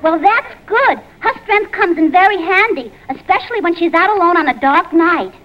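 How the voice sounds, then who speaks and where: A woman's voice: very high voice, lively intonation, moderate pace, a faint crowd. A woman playing a brassy, gullible, man-hungry New Yorker in a 1940s radio comedy. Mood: breezy, defensive. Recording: muffled old radio recording.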